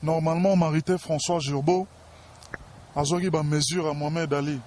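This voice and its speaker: Man, Congolese accent, fairly high pitch, boastful, self-important, interview talk, background noise. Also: fairly even intonation, moderate pace, darkish tone.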